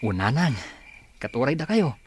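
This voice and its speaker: A man's voice: mid-range voice, very animated, moderate pace, a little mellow, natural voice. A man playing a humble, grateful blind singer in an audio drama, speaking politely and earnestly. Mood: respectful, reassuring. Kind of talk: Ilocano audio drama acting. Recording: faintly noisy track with cricket sounds.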